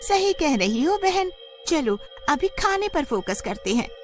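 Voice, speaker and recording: A scheming young-sounding witch sister from a horror tale, put on by a performer. Her voice is piercingly high and very animated. She sounds impatient and hungry for blood. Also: a little slow, soft background music. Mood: gleeful agreement.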